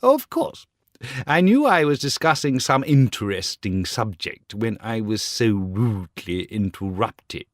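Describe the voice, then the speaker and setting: A man's voice: lowish voice, big pitch swings, measured pace, no echo, studio quality, pitched below the performer's own voice. A man voicing a vain, pompous male firework rocket in a storybook reading. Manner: pompous, self-important, indignant.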